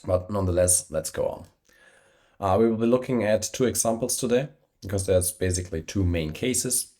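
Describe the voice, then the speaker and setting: A man with a slight cold, hosting a screen-recorded software tutorial while traveling. He talks with many fillers and self-corrections, using a poor travel microphone. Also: lowish voice, moderate pace. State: has a cold, slightly congested. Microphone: poor-quality travel microphone.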